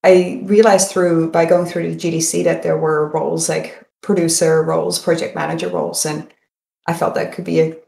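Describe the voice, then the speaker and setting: A woman's voice: low voice, level tone, normal speed, creaky, dark tone. A middle-aged woman, chatting as a podcast guest and often repeating words as she goes. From Netherlands. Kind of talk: conversational interview answers. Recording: roomy, echoing sound.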